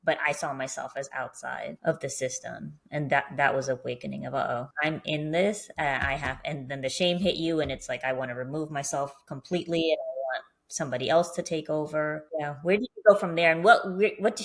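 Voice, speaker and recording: Low-pitched woman podcast interviewer. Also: vocal fry. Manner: deliberate, mirrors back what she hears. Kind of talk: reflective therapy-style interview.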